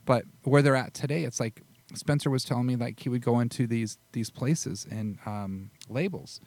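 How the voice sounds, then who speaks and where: A man hosting a podcast interview in casual chat, animated and swearing easily. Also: medium pitch, normal speed, dry recording. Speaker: podcast host.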